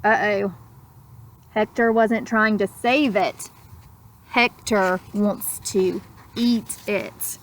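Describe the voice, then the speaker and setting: A woman reading a children's story aloud in an expressive, dramatic manner, with simple explanatory asides. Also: mid-range voice, extremely slow, long pauses. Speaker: storybook reader.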